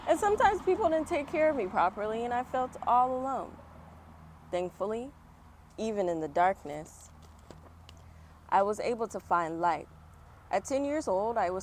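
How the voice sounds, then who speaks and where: A woman reading a children's picture book aloud with chatty, encouraging asides to young viewers, recorded outdoors. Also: medium pitch. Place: outdoors on a fall day. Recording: outdoor background noise, passing vehicles. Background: outdoor ambience, traffic.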